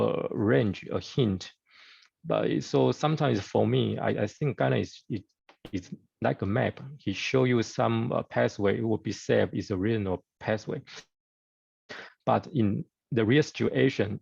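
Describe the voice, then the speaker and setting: Halting man, gravelly low voice. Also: darkish tone, dry recording, lo-fi sound. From Taiwan. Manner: halting, hesitant with many fillers and broken grammar. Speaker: guest speaker surgeon. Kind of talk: conference presentation over video call. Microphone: video call.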